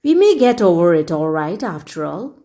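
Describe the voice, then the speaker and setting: A woman's voice: on the low side, sing-song, normal speed, warm tone. A woman reading the lines of a wry young Englishman on a boat trip in a storybook. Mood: plucky. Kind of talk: storybook character dialogue.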